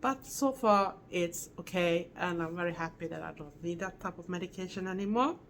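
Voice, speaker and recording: A middle-aged woman from Sweden with a Swedish accent, giving an earnest, halting vlog update with self-corrections and non-native phrasing. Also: on the low side, slight background noise. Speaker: vlogger. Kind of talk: casual health update vlog.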